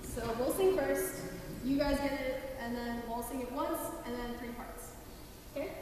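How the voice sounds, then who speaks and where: A woman's voice: sits high, measured pace, darkish tone, slight background noise. A young woman giving casual instructions as a song leader in a church. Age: young adult. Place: large church sanctuary. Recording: echoey hall reverb.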